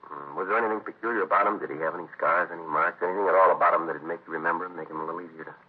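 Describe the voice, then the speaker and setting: An actor playing a terse, dogged police detective in a 1950s radio drama, deadpan, matter-of-fact and dryly polite. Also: male voice, low voice, very fast, breathy edge. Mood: politely probing. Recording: muffled vintage radio broadcast, phone-like band.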